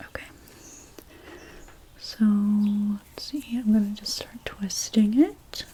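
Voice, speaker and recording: A woman whispering in an ASMR role play as a hairstylist, gently talking through each step in a breathy, mostly unvoiced voice. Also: medium pitch, a little hiss or hum. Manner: gentle, unhurried, step-by-step personal attention. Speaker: ASMR creator playing a hairstylist.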